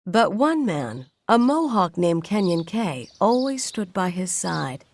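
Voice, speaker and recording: An actress playing the storyteller who narrates a family saga in a video game. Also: female voice, on the low side, sing-song, slow, a little mellow, exaggerated voice. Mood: reflective.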